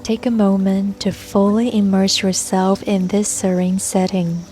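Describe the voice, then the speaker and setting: Slow, soothing woman guiding meditation. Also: mid-range voice, little pitch movement. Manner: gentle, soothing, unhurried. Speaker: meditation guide. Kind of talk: scripted guided meditation. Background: soft music and babbling brook sounds.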